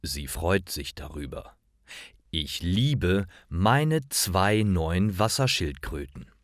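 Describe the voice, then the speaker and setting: A man reading short stories for language learners in a careful, measured, clearly enunciated scripted narration. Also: low-pitched, expressive, no room sound, clean studio sound.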